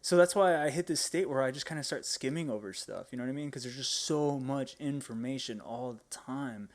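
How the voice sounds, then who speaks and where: A man's voice: mid-range voice, fairly quick. Informal, slangy man podcasting. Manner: informal, slangy, rambling, sprinkles "dude" and "like". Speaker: podcast co-host. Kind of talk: casual podcast discussion.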